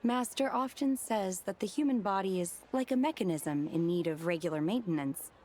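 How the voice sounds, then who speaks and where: A woman playing a polite, reserved young disciple in a video game, composed and earnest, with a gentle, careful, formal manner. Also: mid-range voice, normal speed, natural voice. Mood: understanding. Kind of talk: video game character acting. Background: game music.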